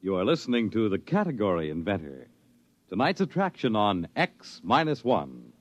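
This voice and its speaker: A man's voice: fairly low pitch, huge pitch swings, normal speed. A man announcing formally in the style of 1950s radio.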